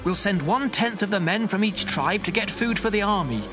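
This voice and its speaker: A man's voice: high-pitched, expressive, a little fast, over music. A man acting a determined Israelite soldier declaiming to his comrades in a dramatized audio Bible. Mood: resolute.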